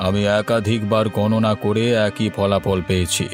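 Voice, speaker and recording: Man acting a fairy-tale cartoon holy man, low voice, stern, slow, solemn, music behind. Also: little pitch movement, natural voice. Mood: solemn, foretelling. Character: stern, solemn wandering holy man, fortune-teller sage.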